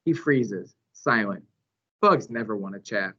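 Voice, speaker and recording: A man reads a children's novel aloud as a storyteller. His phrasing is dramatic and his pitch swings widely through the narration. The recording is a little dull and lo-fi. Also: animated, measured pace, pushed and bright. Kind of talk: expressive read-aloud narration.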